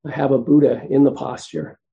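A soft-spoken man teaching meditation, giving gentle, unhurried instructions with frequent pauses. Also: medium pitch, flat delivery, gravelly, phone-quality sound.

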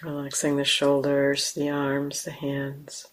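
Soft-spoken woman guiding meditation, flat voice. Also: very deep voice, slight background noise. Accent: American. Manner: slow, soft, calm, with long pauses. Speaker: meditation teacher. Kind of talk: guided meditation. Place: meditation hall.

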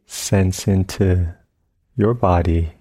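A man leading a guided meditation as a teacher, speaking gently in short phrases with long, unhurried pauses. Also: low-pitched, steady tone, no room sound. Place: retreat center meditation session.

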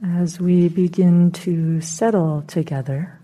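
A woman meditation teacher leading a guided meditation in a soothing, unhurried, gently instructing manner. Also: on the low side, fairly even intonation, rough recording.

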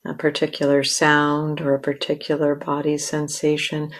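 Female meditation teacher: low register, very even intonation, measured phrasing with generous pauses. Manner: measured, with long pauses between short phrases. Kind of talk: guided meditation.

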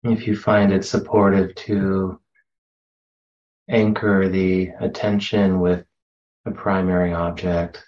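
A man leading a guided meditation as a teacher, speaking gently and unhurriedly with long pauses, on a slightly muffled recording. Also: low voice, flat delivery. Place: meditation center.

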